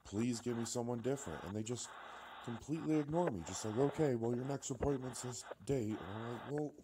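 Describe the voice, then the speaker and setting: A man's voice: mid-range voice, brisk. A man calling a helpline, talking casually in a phone conversation. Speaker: helpline caller. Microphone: telephone. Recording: noisy phone call recording.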